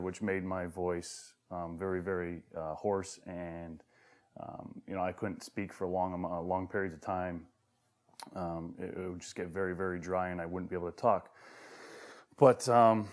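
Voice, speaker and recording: A man with a deep voice that tends to hoarseness, talking haltingly with many fillers about growing up. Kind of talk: personal interview recollection.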